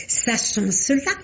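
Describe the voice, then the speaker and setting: An actress playing a chatty, scheming woman who has inherited a couture house, in an old French radio drama, gossipy and conspiratorial with frequent giggles.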